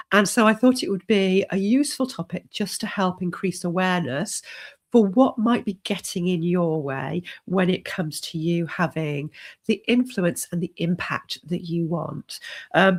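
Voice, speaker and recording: Middle-aged woman, leadership coach with a cold, low gravelly voice, steady and encouraging. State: has a cold. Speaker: leadership coach hosting a live stream. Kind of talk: solo live stream talk.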